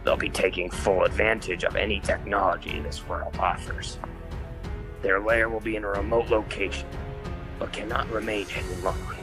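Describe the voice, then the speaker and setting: A man voicing a grave heroic robot strategist, speaking in a deliberate, formal way for a comic dub. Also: fairly low pitch, fairly even intonation, music in the background, natural voice. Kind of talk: dramatic comic-dub voice acting.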